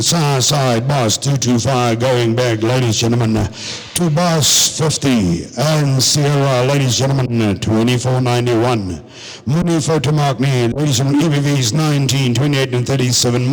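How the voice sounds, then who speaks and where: Fast-chanting South African cattle auctioneer. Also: male voice, mid-range voice, long reverb tail, poor audio. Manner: rattles off bid numbers in a fast chant, switching between English and Afrikaans. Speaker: livestock auctioneer. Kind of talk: rapid auction chant between lot descriptions. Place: livestock auction venue.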